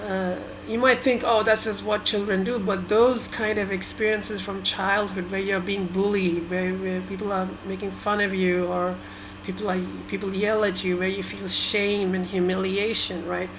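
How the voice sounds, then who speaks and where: Sri lankan-born woman, tamil first language, halting talk, lots of restarts, noisy room behind. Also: medium pitch, flat delivery. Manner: halting, hesitant, many restarts and fillers. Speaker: vlogger. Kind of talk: personal reflective monologue.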